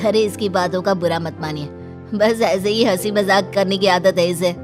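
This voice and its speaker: Bride's middle-aged parent, played by a woman, cartoon drama: reassuring, polite, medium pitch, noticeable music. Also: steady tone, moderate pace, close to an everyday voice. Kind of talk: cartoon family drama acting. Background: prominent background music.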